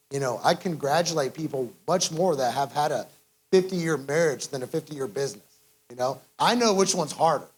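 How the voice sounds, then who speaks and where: A man with a fairly high voice offers reflective advice as a guest speaker. His delivery is informal and halting, circling back over phrases. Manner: halting, repeats "you know". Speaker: business owner guest speaker.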